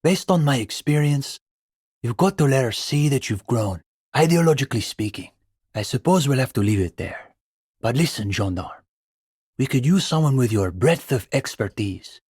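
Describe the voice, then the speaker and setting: Young bookish marxist student in a game, earnest n pedantic, bit of husk in the voice, mid pitch. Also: male voice, animated, moderate pace, darkish tone, breathy edge, no room sound, studio quality. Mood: earnest, assured. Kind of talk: video game dialogue acting.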